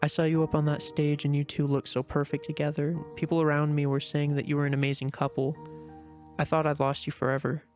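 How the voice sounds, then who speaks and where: A man voicing a shy gentle female rabbit. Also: fairly high pitch, completely flat delivery, fairly quick, soft background music, natural voice. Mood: earnest. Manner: soft, heartfelt, anxious inner murmuring. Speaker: voice actor in a fan reading. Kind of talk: amateur dramatic reading.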